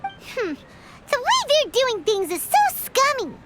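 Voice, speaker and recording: An actress voicing a tiny, childlike, outspoken sprite companion in a game, squeaky and blunt. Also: female voice, huge pitch swings, slow, a bit bright, a little hiss or hum, full character voice. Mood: indignant. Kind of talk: English game dub voice acting.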